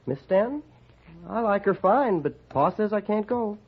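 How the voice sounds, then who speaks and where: A man's voice: high-pitched, expressive, a little slow, slightly rough, exaggerated voice. An actor playing an earnest, plain-spoken country boy with a rural American accent in an old-time radio western, simple and folksy. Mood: matter-of-fact. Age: child character. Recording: muffled vintage radio recording.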